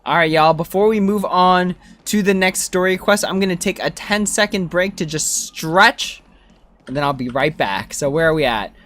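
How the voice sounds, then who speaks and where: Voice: medium pitch, lively intonation, normal speed, fairly bright tone. A game streamer, chatting casually and thinking aloud while playing. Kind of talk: livestream gameplay commentary, casual chat. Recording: game music behind. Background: video game music.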